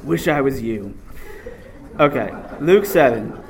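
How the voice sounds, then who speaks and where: Animated man preaching call-and-response. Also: mid-range voice, takes it slowly, a little hiss or hum. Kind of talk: sermon.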